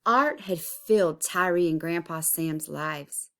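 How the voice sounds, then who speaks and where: A woman reading a children's picture book aloud expressively, with deliberate, dramatic emphasis. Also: fairly low pitch, sharp tone. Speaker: storybook reader.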